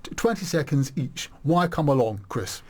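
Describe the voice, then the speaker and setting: A man's voice: fairly high pitch, normal speed. A man hosting a live radio interview in a studio, chatty and enthusiastic, often restarting his sentences. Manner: chatty, animated, warm enthusiasm with frequent restarts. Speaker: radio host.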